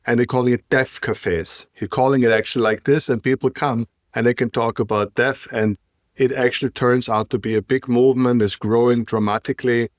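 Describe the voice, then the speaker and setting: A man's voice: mid-range voice, steady tone, a little fast, no room sound, studio quality. A man hosting a podcast in casual chat, rambling and stumbling over his words while searching for them. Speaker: podcast host.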